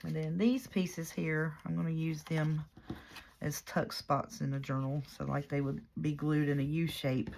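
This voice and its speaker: A hoarse woman, chatting casually and rambling softly as she works through a crafting project. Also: sits low, flat delivery, unhurried, slight background noise. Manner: soft-spoken and rambling. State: hoarse from a cold. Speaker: craft vlogger.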